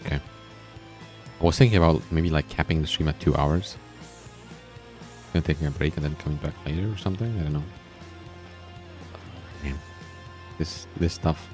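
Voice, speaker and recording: A man's voice: extremely low pitch, normal speed, long pauses. A man reading a visual novel aloud as a streamer, with casual asides, in a somewhat strained, tired voice. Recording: background music.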